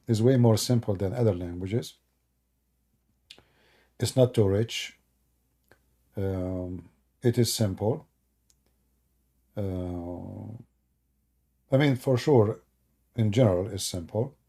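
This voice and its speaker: Gravelly, dark-voiced man, native Arabic speaker. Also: on the low side, takes it slowly, long pauses. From Middle East. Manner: sarcastic and joking, mocks the chat; broken English grammar. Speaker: live stream host. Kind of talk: casual live stream chat, answering comments.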